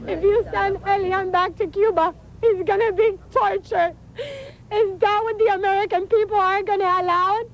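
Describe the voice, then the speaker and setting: A woman's voice: extremely high pitch, fairly even intonation, normal speed. A distraught, tearful woman making an emotional plea in a news clip. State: crying, distraught. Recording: dull outdoor news clip, faint noise.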